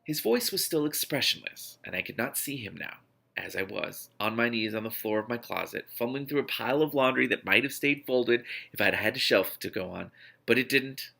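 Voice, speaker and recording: A man's voice: mid-range voice, lively intonation, moderate pace, creaky, bright, forceful tone. A man reading a novel aloud expressively, often stumbling and breaking off to react. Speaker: book reader, reaction host.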